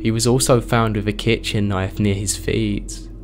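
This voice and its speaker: A man with a strained, hoarse voice, reading true horror stories aloud as the host of his channel at a steady pace. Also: lowish voice, normal speed, over music. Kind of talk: scripted horror story narration.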